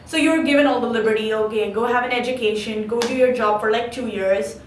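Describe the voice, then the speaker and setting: A woman's voice: a little high, fairly quick. A young woman from India giving articulate, reflective answers as a student in an interview. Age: young adult. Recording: roomy, distant mic, faint noise.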